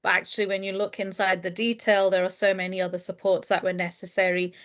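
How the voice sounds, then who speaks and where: A woman teacher and lecturer answering interview questions in a thoughtful, earnest way, with frequent fillers and soft laughs. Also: mid-range voice, level tone, quick pace. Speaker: guest, primary teacher and lecturer. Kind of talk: reflective interview conversation.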